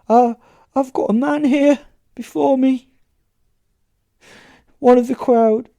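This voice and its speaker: A man's voice: very high voice, steady tone, slow pace, creaky, dark tone, dry recording, pitched above the performer's own voice, exaggerated voice. A man voicing a terrified, tearful stage psychic in a horror story, stammering and repeating himself in anguish. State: crying, distressed. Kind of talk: dramatic audio horror story acting.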